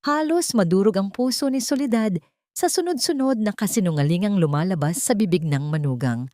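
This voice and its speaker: A woman's voice: medium pitch, animated, a little fast, warm tone, no room sound, studio quality. A woman narrator reading a dramatic scripted audio story.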